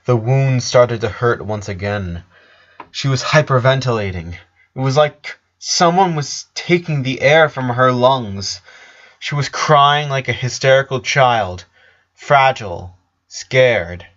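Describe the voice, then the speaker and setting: A man reading a scripted story as narrator, in a measured way with pauses between phrases. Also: mid-range voice, a bit bright. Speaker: fan fiction narrator. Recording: dull lo-fi voice recording.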